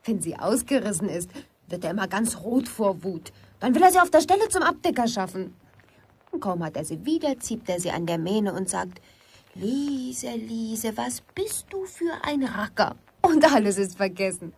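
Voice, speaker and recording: A young woman playing a pert, impulsive city girl in a German radio drama, frank and questioning, with a husky voice. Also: mid-range voice, very animated, moderate pace, a little mellow, exaggerated voice. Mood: chatty.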